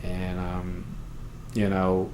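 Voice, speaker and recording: A man with a Cambodian accent, a native Khmer speaker, recounts his experiences in halting, simply phrased English in an interview. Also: sits low, monotone, a little mellow. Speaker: interviewee, refugee services worker and pastor. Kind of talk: oral history interview, recounting personal experience. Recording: roomy echo, clear background noise.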